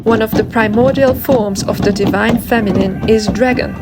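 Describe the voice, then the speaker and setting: A woman's voice: a little high, moderate pace, heavy background noise. A woman reading a scripted documentary narration in a reverent, poetic manner. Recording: music behind the voice. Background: prominent music.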